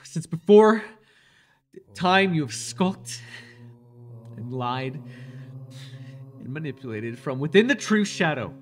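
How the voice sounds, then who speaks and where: A man playing a fanatical, desperate cult leader devoted to a dark master, theatrical and intense, in an immersive audio roleplay. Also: a little high, very animated, takes it slowly, long pauses. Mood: grandiose, incantatory. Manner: theatrical, intense, stammering when shaken.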